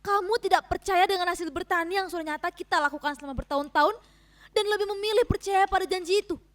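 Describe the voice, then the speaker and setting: A woman performing a dramatic reading as an earnest, frustrated farming wife, speaking in an emphatic, measured, theatrical way. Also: very high-pitched. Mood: frustrated, reproachful.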